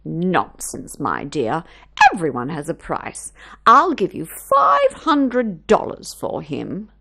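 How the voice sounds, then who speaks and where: A woman voicing a haughty, pushy rich lady in a children's storybook, with a grand, drawn-out, imperious delivery. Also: sits low, huge pitch swings, gravelly, pushed and bright, voice pushed down, exaggerated voice. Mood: dismissive, pushy.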